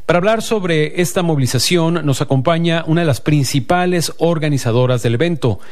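Radio host guy, kinda higher voice, steady announcer delivery. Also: fairly quick. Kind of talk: radio call-in program, scripted intro and interview.

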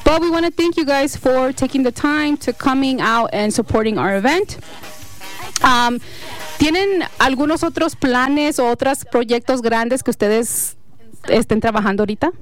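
Woman interviewer, teasing and chatty, mixes two languages, fairly high voice, faint music behind. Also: unhurried. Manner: chatty and teasing, laughs often. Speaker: interview host. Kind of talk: casual interview, mixing Spanish and English.

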